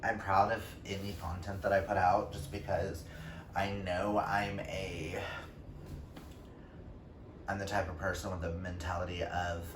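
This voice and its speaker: A man talking casually and expressively to viewers in a book vlog, informal and given to emphatic exclamations. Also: low voice. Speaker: book YouTuber. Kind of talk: casual chatty vlog talk to camera. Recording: roomy echo, distant mic, faint noise.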